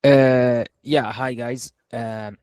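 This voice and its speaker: Man from Syria, fairly even delivery. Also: medium pitch, very slow, smooth, dry recording, clean studio sound. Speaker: guest speaker, software engineer. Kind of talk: informal webinar talk. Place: online video call.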